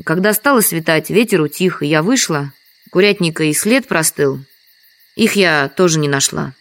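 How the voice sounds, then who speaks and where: A lonely village widow in an audio drama. Her voice is on the low side for a woman. She speaks in a plain, resigned, matter-of-fact way. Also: animated, normal speed. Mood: resigned, sorrowful. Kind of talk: Russian audio drama acting.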